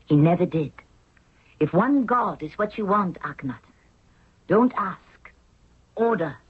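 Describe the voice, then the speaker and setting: A woman's voice: fairly low pitch, animated, a little slow, husky, exaggerated voice. A woman playing a hard-willed, commanding Egyptian queen in a 1970s radio drama, with a firm, imperious delivery. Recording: tinny narrow-band old radio recording.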